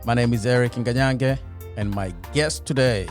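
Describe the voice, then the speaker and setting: A man's voice: medium pitch, normal speed, soft background music. A man hosting a podcast, asking questions in a conversational interview style. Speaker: podcast host.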